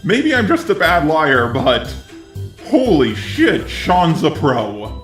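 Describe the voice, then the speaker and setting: A man's voice: on the high side, wildly swooping pitch, slow, a bit bright, echoey room, faint music behind. A synthetic robot male voice delivering scripted video commentary.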